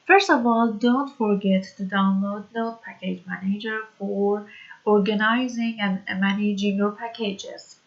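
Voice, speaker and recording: A woman from Iran, whose first language is Persian, teaching a programming tutorial in slow, hesitant English full of fillers. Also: mid-range voice, fairly even intonation, dull audio. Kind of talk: screen-recorded coding tutorial.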